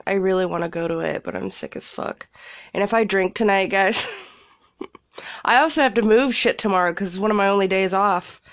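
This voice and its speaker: A woman's voice: mid-range voice, normal speed, no room sound. Young woman, congested cold, rambling solo podcast chat, casual swearing, dry closet sound. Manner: rambling, relaxed, swears freely, laughs often. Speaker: podcast host. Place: small closet, indoors.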